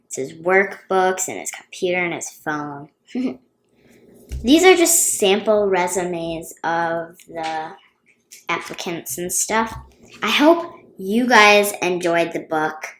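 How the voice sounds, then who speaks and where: A woman reading a children's storybook aloud expressively, with dramatic, drawn-out emphasis on key words. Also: on the low side, lively intonation, a bit bright. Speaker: children's book reader and channel host. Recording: roomy, echoey sound.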